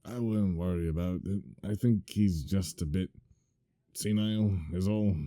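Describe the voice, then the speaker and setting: A man voicing a blunt, exasperated dwarf who speaks slowly and bluntly. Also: deep voice, dark tone. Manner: blunt and exasperated, drawn-out delivery.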